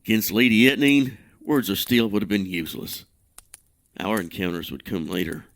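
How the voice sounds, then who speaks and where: A man's voice: on the low side, moderate pace, vocal fry, a bit bright. An actor playing a guilt-ridden, self-condemning man in an audio drama, speaking in a formal, brooding way. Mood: bitter resolve.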